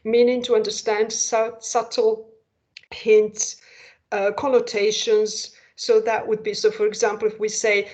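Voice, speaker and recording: A woman's voice: medium pitch, level tone, a little slow, darkish tone. A woman presenting an online school information session, explaining patiently and asking rhetorical questions. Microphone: video call. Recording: dull lo-fi video call audio.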